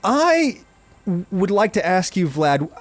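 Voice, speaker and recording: A man's voice: high-pitched, big pitch swings, takes it slowly, no echo. Rambling American man hosting a podcast. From United States. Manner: rambling, thinking aloud with many fillers. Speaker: podcast host. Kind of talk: podcast interview.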